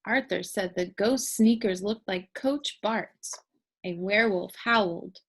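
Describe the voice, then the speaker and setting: A woman reading a storybook to children in a deliberate, expressive storytelling manner. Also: medium pitch, husky. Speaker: read-aloud storyteller. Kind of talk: children's storybook read-aloud.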